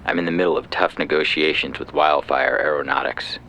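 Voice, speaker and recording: A man playing a callous, self-important middle-aged war secretary in audio fiction, gravelly and coldly officious as he makes a report. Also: rumbling low, steady tone, a little fast, bright, forceful tone, slight background noise, heavily acted, low-quality recording. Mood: cold, self-justifying. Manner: cold, officious, matter-of-fact. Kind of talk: audio fiction reading as a phone call.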